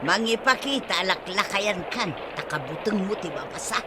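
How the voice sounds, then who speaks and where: A woman's voice: medium pitch, animated, moderate pace, heavy background noise, lightly acted. An actress with an Ilocano Filipino accent playing an anxious, scolding village mother in a radio comedy drama.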